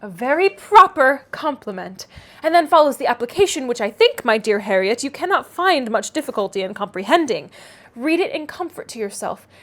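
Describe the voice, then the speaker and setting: A woman acts out a clever young lady of a classic novel, with a notably high voice. She is smug and self-assured, speaking precisely, with a knowing, condescending manner. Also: sing-song, moderate pace, a bit bright. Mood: brisk, knowing. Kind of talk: classic novel read aloud.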